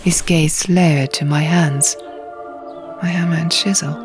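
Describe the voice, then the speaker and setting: A woman's voice: deep voice, steady tone, measured pace. A woman reading an audiobook as scripted, dramatic narration. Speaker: audiobook reader. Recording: music bed under the reading. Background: music and sound effects.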